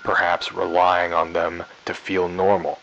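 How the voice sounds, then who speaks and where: A man reading a public service announcement voice-over in a slow, drawn-out way. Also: sits low, monotone, dull audio. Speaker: PSA narrator.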